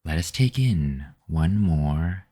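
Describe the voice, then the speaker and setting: A man leading a guided meditation in a calm, measured, soothing manner. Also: extremely low pitch, husky. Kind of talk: slow guided meditation narration.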